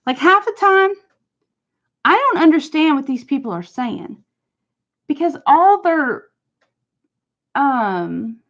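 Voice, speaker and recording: Woman, northern US accent, slow-paced rambling chat, laughs a lot, lo-fi stream sound. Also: sits high. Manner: chatty, laughs often, rambling. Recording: dull lo-fi stream audio.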